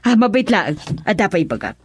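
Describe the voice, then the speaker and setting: A woman's voice: mid-range voice, very animated, fairly quick, a little hiss or hum, natural voice. A woman with an Ilocano Filipino accent playing an insistent, meddling middle-aged mother in a theatrical, coaxing radio drama style.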